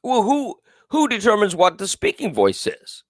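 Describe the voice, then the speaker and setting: Man, talk show host, big carrying voice, emphatic, lively swings in pitch, dry close sound. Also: moderate pace, no room sound. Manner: emphatic, repetitive, reads chat comments aloud. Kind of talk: live political call-in commentary.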